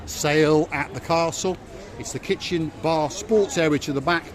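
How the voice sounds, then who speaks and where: A man's voice: fairly high pitch, normal speed, crowd noise. Chatty Welsh man on a harbour walk. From Wales. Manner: chatty, jokey, repeats words for emphasis. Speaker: vlog host. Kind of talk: casual walking tour commentary. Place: outdoor harbour at night. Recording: music playing behind.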